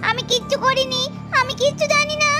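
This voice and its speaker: A frightened young schoolgirl, played by a woman, whines and pleads in a very high, squeaky voice. Her denials tumble out in a rush. Cartoon music runs steadily underneath. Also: fairly even intonation, fairly quick. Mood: pleading.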